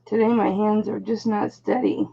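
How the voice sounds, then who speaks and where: Hoarse, gravelly woman vlogger. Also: mid-range voice, level tone, moderate pace, dull audio. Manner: chatty, exclaims when frustrated. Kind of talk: casual chat while testing stones.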